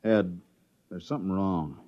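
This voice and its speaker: An actor playing an excitable, puzzled biologist exploring an alien planet in a 1950s sci-fi radio drama, speaking with wonder. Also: male voice, low voice. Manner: exclamatory, wondering, halting in amazement. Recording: muffled old radio recording.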